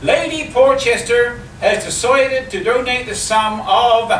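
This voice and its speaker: A man playing a sly newspaperman with polite menace in a live comedy radio play, measured and insinuating. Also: extremely high pitch, fairly bright tone. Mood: formal. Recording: echoey distant live recording, faint noise.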